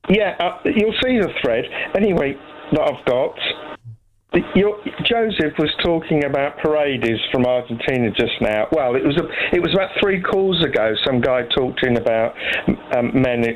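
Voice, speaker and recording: A man's voice: a little high, expressive, normal speed, a little hiss or hum, low-quality recording. A man calling in to a radio show, talking hesitantly with many fillers as he makes an earnest complaint. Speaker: phone-in caller. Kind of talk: radio phone-in.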